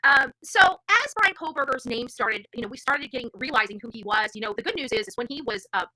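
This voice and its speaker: A woman's voice: on the high side, expressive, brisk, bright, forceful tone, dry recording, low-quality recording. A woman hosting a true crime livestream, telling stories and commenting informally in a brash, feisty, rambling way. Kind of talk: informal live commentary with storytelling and reading aloud.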